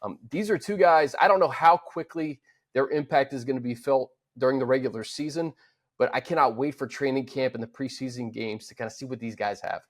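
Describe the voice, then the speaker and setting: A man with an American accent and a medium-pitched voice co-hosts a sports show. His analysis is casual and conversational, with an earnest delivery into a studio mic. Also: fairly quick.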